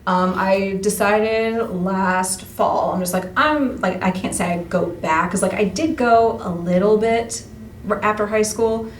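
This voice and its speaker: A woman's voice: medium pitch, a little slow, distant mic. Female, 30s, informal self-deprecating interviewee. Echoey room, off-mic, light background noise. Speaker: radio play cast member being interviewed. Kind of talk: casual chat.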